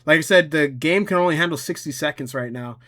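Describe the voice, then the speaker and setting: A man presenting an informal step-by-step software tutorial, rambling a little and thinking out loud as he goes. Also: on the high side, fast talker. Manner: informal, rambling, thinks aloud and talks to himself. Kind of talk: casual screen-recorded software tutorial.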